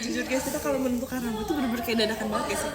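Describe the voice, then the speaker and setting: Giggly young woman over loud crowd noise. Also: a little high, racing, reverberant. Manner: giggly and easygoing, addresses viewers as guys. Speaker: live streamer. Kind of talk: casual chat on a live stream.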